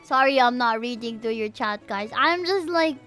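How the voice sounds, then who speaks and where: A woman livestreaming a game and chatting with viewers in an animated, emphatic, gushing way, with music behind her. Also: fairly high pitch, moderate pace. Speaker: game streamer. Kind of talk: livestream chat reacting to a game.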